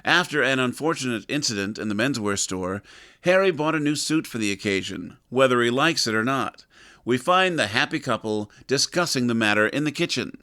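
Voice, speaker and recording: A man's voice: medium pitch, expressive, brisk, sharp tone, dry recording, studio quality. A man narrating a scripted comedy audio drama in a storytelling style.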